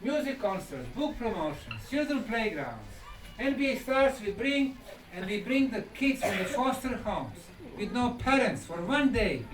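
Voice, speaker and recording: High-voiced Bosnian man lecturing in an echoey room. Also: sing-song, unhurried. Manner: emphatic, short declarative phrases with rhetorical questions. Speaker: lecturer and researcher. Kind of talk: live conference lecture. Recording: echoey hall from far off, music behind.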